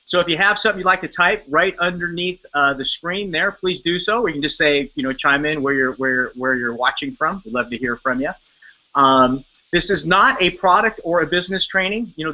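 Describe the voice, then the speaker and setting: Bright-voiced male webcast host. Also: a little high. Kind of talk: webcast hosting. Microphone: video call. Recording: muffled lo-fi video call audio.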